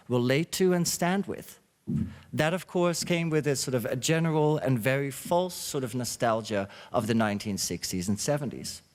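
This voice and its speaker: Host at a talk: man, a bit high-pitched, halting delivery, reverberant hall. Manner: halting, with frequent uh fillers. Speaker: symposium host. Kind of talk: read introductory speech.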